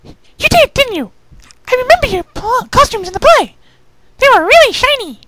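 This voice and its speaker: A performer voicing an excitable, chatty young female party pony from a cartoon, bubbly and eager. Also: extremely high pitch, normal speed, noisy background, voice pushed up.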